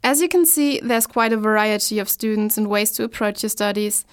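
A woman's voice: mid-range voice, a little fast, a little hiss or hum. A young woman hosting a scripted talk show, presenting in an upbeat, read-out way.

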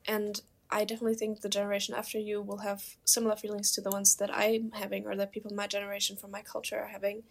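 A young woman with a German accent leading a casual conversation in an earnest, measured way. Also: mid-range voice, completely flat delivery, gravelly. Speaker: discussion moderator.

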